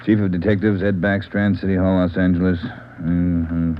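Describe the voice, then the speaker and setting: Male actor as brusque American police boss, low voice, impatient, tinny vintage radio sound. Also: flat delivery, normal speed, natural voice. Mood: grim. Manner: brusque, forceful orders. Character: gruff, impatient, demanding male chief of detectives. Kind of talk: 1940s-50s radio police drama acting. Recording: tinny old radio recording.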